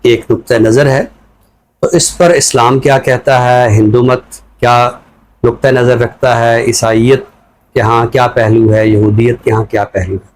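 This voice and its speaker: Measured Pakistani man, medium pitch. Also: steady tone, low-quality recording. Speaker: scholar commentator on a talk show. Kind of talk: reflective conversational commentary.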